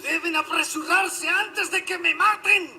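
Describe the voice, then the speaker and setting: Casting: male actor as frightened thriller scientist, extremely high strained pitch, very slow dramatic delivery. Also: steady tone, slight background noise, heavily acted. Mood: fearful, urgent. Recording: played clip under loud music.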